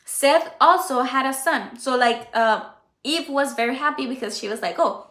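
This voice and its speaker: A woman whose first language is Spanish, informally teaching a Bible study in a conversational, halting way. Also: sits high, fairly bright tone, reverberant. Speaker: Bible teacher and channel host. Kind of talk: informal Bible study talk, reading and explaining.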